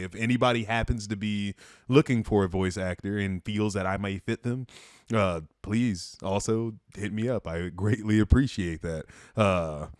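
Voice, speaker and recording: A man's voice: fairly low pitch, dry recording, clean studio sound. A man talking casually and earnestly to listeners, an amateur voice actor with a modest manner. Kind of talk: casual closing address to listeners.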